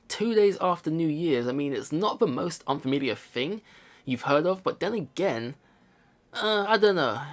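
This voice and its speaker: A man hosting a let's play, casually commenting and reading story text aloud, rambling and repeating himself as he thinks aloud. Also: fairly high pitch. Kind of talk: casual game commentary mixed with reading visual novel narration aloud.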